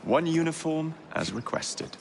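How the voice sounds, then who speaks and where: A man's voice: a little high, very animated, measured pace, vocal fry, slight background noise, exaggerated voice. An actor playing a brash, hot-tempered young English man with a sardonic, flippant manner in a video game cutscene. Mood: cocky. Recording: music behind the voice.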